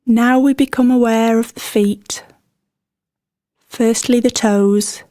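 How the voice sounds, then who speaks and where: A woman leading a guided meditation in a gentle, soothing manner, with scripted instructions and unhurried pauses between phrases. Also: a little high, steady tone, no room sound.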